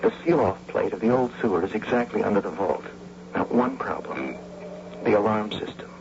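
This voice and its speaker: A man acting in a radio play as a hesitant, anxious architect, halting and flustered in his delivery. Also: fairly low pitch, animated, gravelly. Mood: businesslike. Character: hesitant, anxious architect friend drawn into crime. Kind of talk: 1980 radio drama acting. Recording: muffled, noisy old radio broadcast.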